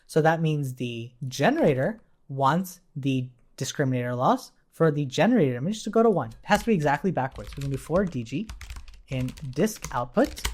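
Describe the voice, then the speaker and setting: A man's voice: a little high, animated, normal speed, a little mellow. A man teaching in an informal, conversational way, explaining step by step as a coding instructor and often checking in as he goes. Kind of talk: informal technical tutorial walkthrough.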